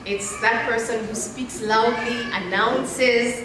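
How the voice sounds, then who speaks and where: Echoey, high-voiced woman giving a talk. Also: steady tone, slow. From born in Nairobi, Kenya, partly raised abroad. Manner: conversational storytelling, addresses listeners directly. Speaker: guest speaker and mentor. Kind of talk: motivational talk to young people at church. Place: large echoey indoor church space. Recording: very echoey hall, distant mic, faint noise.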